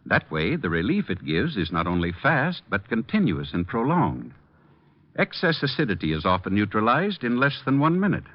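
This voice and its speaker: A male American announcer pitches in polished 1940s radio style. His voice is low with lively, emphatic swings, on a dull old recording. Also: normal speed.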